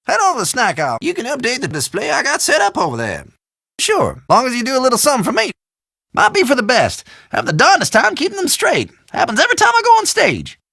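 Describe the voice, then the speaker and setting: Game role: rambling country storekeeper, Southern drawl, bright and creaky, pitch swinging wildly. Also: male voice, wildly swooping pitch, fast talker, dry recording, studio quality, full character voice. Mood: friendly, chatty, then nervous, flustered. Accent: American Southern or South Central country. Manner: folksy, rambling, theatrical drawl. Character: folksy, chatty, forgetful small-town male shopkeeper. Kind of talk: video game voice acting.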